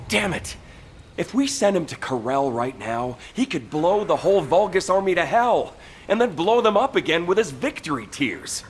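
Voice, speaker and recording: An excitable, scheming squad mate from a video game. His slightly high voice swings expressively between dry sarcasm and animated planning. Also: moderate pace, a little hiss or hum. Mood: frustrated. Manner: wry, animated.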